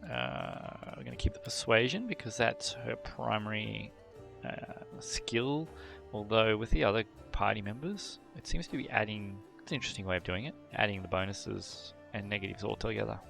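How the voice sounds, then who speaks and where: A man hosting a game video, thinking aloud through character choices with frequent fillers and throat clearing. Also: lowish voice, normal speed, a bit bright, faint music behind. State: voice a bit worn from a long previous session. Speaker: gameplay channel host. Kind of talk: casual gameplay commentary.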